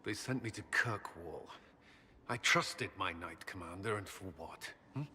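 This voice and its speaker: A troubled commander of soldiers speaks in a forceful, formal way, as in a dramatic game cutscene. The delivery is intense and halting, set in a long reverb with noise behind it. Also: male voice, on the low side, exaggerated voice. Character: earnest, troubled male military commander, former templar.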